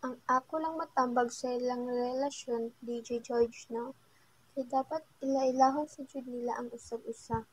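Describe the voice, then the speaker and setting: A woman's voice: fairly high pitch, fairly even intonation, normal speed, husky. A woman with a Filipino accent giving casual advice as a radio listener. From Philippines.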